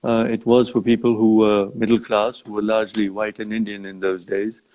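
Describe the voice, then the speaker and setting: An older man from Uganda speaking as an interview guest in a live online chat, thoughtful and halting as he phrases things. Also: lowish voice, level tone, darkish tone, rough recording. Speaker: interview guest, safari company founder. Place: live social media video chat.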